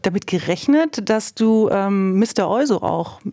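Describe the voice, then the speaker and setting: A woman's voice: medium pitch, animated, a little slow, warm tone, dry recording. Informal woman interviewer, dry close sound. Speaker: radio show host, interviewer. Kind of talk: casual conversational interviewing.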